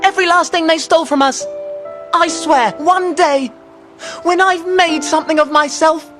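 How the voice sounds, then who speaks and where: A woman voicing a loving, determined twelve-year-old boy in an animated mystery game, over music. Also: very high voice, moderate pace. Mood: tearful resolve. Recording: clear music behind the voice.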